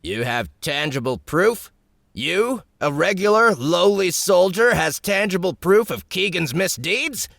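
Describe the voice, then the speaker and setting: Guy doing a gloating gossipy guard, way up high and giddy. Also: a little slow, creaky, dry recording, clean studio sound, full character voice. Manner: excitable and gloating. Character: gleeful gossipy male guard, a soldier.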